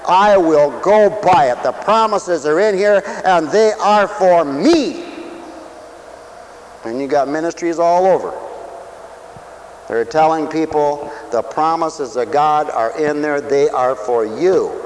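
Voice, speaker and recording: A man preaching a sermon for radio, who swings from loud, stretched-out lines to quiet asides and acts out other people's voices. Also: fairly high pitch, takes it slowly, a little hiss or hum, poor audio. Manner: dramatic swings from shouting to near whisper, acts out voices.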